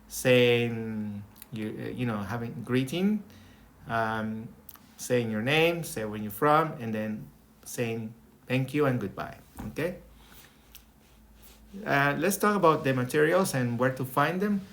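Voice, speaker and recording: A middle-aged Colombian man with a Colombian accent teaches a beginners' Spanish class online. He explains each step slowly and keeps checking in with "okay?". His voice comes through a laptop mic on a video call from a small room. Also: medium pitch, a bit bright. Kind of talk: online lesson with screen share. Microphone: webcam or laptop mic on video call.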